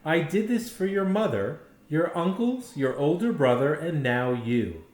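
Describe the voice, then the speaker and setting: A proud, tender old storybook grandfather acted by a man reader, cooing with ceremony to a little one. The pitch swings widely, and the room echoes around the voice. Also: expressive, unhurried, darkish tone. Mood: tender, ceremonious.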